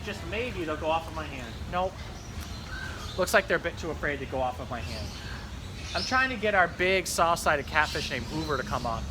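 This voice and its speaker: Higher-pitched man giving a casual animal talk. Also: noisy background. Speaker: zookeeper host showing pond fish.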